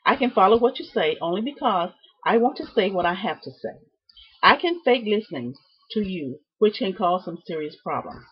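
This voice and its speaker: Husky woman reading out her class presentation, pitch goes up and down a lot, kinda muffled recording. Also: big pitch swings, normal speed. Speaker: student presenting a class project. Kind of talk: prepared speech, read from notes. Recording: dull, muffled lo-fi sound.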